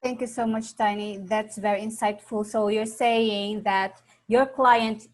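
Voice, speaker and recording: A woman's voice: mid-range voice, steady tone, a little slow. A Filipino woman hosting a conversational interview over a video call. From Philippines. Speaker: interviewer, host.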